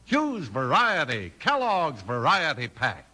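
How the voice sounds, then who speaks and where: A man's voice: on the high side, huge pitch swings, slow pace. An American man announcing a 1950s radio show and reading its sponsor advertising in the polished style of that era. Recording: old 1950s radio broadcast. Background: music.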